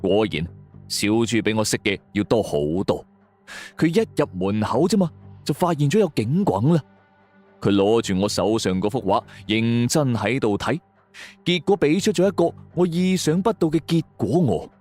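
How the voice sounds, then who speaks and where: A man's voice: mid-range voice, sing-song, moderate pace, a little mellow. A man narrating a scripted suspense story in a dramatic way. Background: background music.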